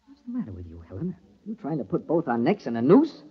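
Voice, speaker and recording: A man's voice: medium pitch, huge pitch swings, quick pace. An actor playing an anxious, bossy young man hiding guilt, theatrical and insistent in old radio horror style. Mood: tense, dismissive. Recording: muffled old radio, crowd behind.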